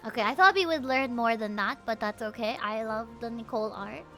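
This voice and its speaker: High, bright-voiced woman streaming. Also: fairly quick, soft background music. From Philippines. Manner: expressive, exclamatory. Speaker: livestreamer. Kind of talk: casual game stream reactions.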